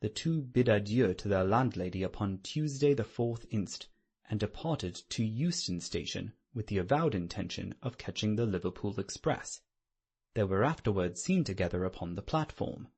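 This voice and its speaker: Husky low-voiced male audiobook narrator. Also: lively intonation, moderate pace, a little mellow. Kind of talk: scripted dramatic narration of a classic novel.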